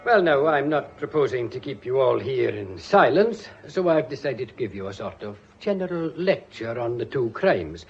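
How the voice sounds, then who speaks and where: An actor playing a genial, wordy, quietly commanding Scottish police inspector in a British radio drama, with a folksy, authoritative manner. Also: male voice, mid-range voice, very animated, normal speed, creaky, hardly any pauses, a little hiss or hum. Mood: measured, lecturing. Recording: dull, band-limited radio drama recording.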